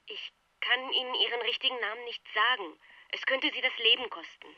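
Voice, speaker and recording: An actress in a German radio drama playing a formal, officious charity agent on the telephone. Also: female voice, fairly high pitch, fairly even intonation, fairly quick, dry recording. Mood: insistent. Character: brisk, evasive, businesslike female charity telephone agent. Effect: telephone filter. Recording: dull, distant telephone line.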